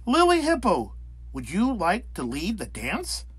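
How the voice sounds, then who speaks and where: A man's voice: high voice, wildly swooping pitch, measured pace, fairly bright tone, husky, full character voice. A man voicing a flamboyant, encouraging female hippo drama teacher in an effusive, coaxing manner for a storybook. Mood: delighted.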